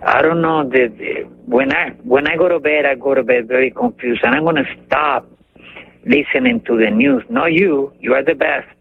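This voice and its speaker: An older man from Cuba with a Cuban accent, calling in to a radio show and talking haltingly, searching for words. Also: fairly high pitch, sing-song, creaky. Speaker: caller. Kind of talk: call-in radio chat. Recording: tinny phone line with faint noise.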